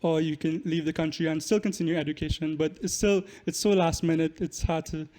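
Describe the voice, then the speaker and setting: A man's voice: fairly high pitch, fairly even intonation, brisk, mellow. A young man, a podcast guest explaining things in casual interview talk. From Trinidad and Tobago. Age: young adult. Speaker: podcast guest, musician and student.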